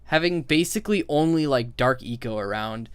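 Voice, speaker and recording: A man's voice: mid-range voice, lively intonation, normal speed, clean tone, dry recording. A man giving casual, opinionated video commentary as a game critic, informal and emphatic.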